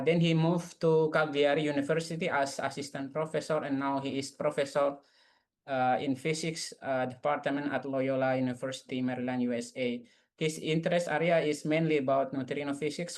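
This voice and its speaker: Young male moderator, formal halting introduction over a video call. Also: mid-range voice, steady tone. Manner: careful, halting, frequent fillers. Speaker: seminar moderator.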